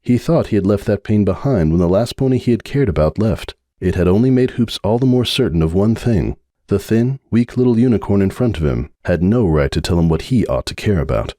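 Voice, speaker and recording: A man giving a dramatic reading of a fan story, narrating in a measured, brooding way. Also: fairly low pitch, animated, mellow, dry recording, clean studio sound. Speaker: audiobook narrator.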